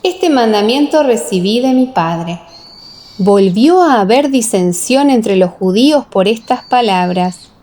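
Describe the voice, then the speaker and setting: A woman narrating a dramatized scripture audiobook in a solemn, measured, carefully enunciated way, with heavy reverberation. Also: fairly high pitch, expressive, mellow. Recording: echoing, long reverb.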